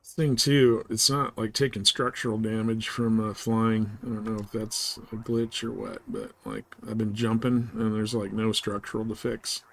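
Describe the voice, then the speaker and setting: A man's voice: fairly low pitch, normal speed, hardly any pauses, a bit bright, low-quality recording. A man chatting informally and slangily as he gives rambling live commentary on a video game he is streaming. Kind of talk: casual live gameplay commentary. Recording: clear background noise.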